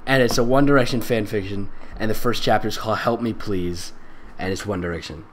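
A man's voice: lowish voice, fairly quick, slight background noise. A man doing a comedic fan fiction reading with sarcastic, exasperated, theatrical commentary. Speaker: voice actor and fan fiction reader.

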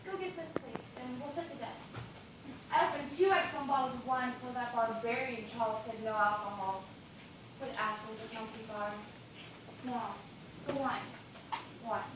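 A woman's voice: fairly high pitch, normal speed, pauses often, close to an everyday voice. A young woman on stage playing an imperious, chatty female boss in a commanding, declamatory way. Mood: breezy, bossy. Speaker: stage actor. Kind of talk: live stage comedy acting. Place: theatre stage indoors. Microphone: far camera. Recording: distant, very echoey, noisy auditorium recording.

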